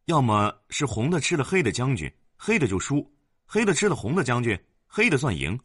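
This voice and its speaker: A man's voice: mid-range voice, big pitch swings, fairly quick, a bit bright, husky, no room sound, studio quality, voice pushed up. A male storyteller voicing a household servant who explains and exclaims in a raised voice. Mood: explaining. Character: older household servant, startled.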